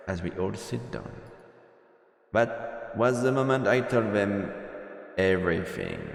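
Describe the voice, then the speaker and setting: A man's voice: on the low side, creaky. A man doing a playful let's-play, reading story text aloud and adding casual commentary. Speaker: streamer, let's-player. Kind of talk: game narration and casual commentary. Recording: roomy reverb, music behind.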